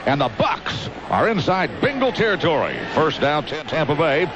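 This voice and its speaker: A man's voice: fairly high pitch, very animated, normal speed. Man, American accent, radio play-by-play, dull broadcast sound, heavy stadium noise, vivid clipped calls. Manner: dramatic, vivid play calling with clipped phrases. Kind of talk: live American football radio commentary. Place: outdoor stadium broadcast booth. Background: loud stadium noise.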